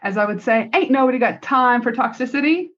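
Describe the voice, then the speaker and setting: A Canadian-accented woman with a fairly high voice hosts a motivational business podcast on her own. She speaks bluntly and emphatically, in casual, punchy language. The recording sounds slightly dull and lo-fi. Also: lively intonation, brisk. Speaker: podcast host.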